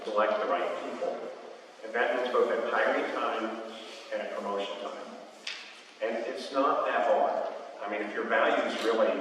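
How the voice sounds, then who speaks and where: A man's voice: lowish voice, fairly bright tone. A man giving an informal public lecture as a guest speaker, recorded in a hall. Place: lecture hall, distant microphone. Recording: echoey hall from far off, faint noise.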